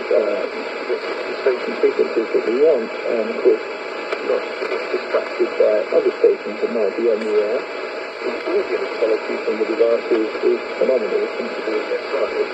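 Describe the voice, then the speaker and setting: A man giving measured explanations as a guest in a radio program conversation. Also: mid-range voice. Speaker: interview guest, radio broadcaster. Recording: muffled, very noisy hissy shortwave radio. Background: loud radio hiss and static.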